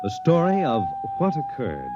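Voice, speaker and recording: A man delivering a dramatic, deliberate old-time radio promo as an announcer. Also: a little high, huge pitch swings, over loud music. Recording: muffled, very noisy old radio broadcast.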